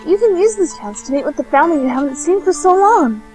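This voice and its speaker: Casting: woman as sweet, selfless schoolgirl for anime radio drama; very high, animated delivery, music underneath. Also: quick pace. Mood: earnest, reassuring. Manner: polite, earnest, eager. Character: cheerful, selfless, earnest teenage girl. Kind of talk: amateur anime-style radio drama acting.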